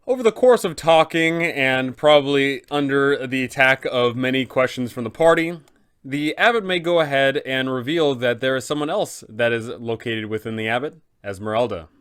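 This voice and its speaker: A man's voice: medium pitch, moderate pace, fairly bright tone, smooth. A man hosting a casual explanatory guide for tabletop game masters, talking in a conversational way. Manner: conversational, rhetorical questions. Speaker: host, game master giving advice.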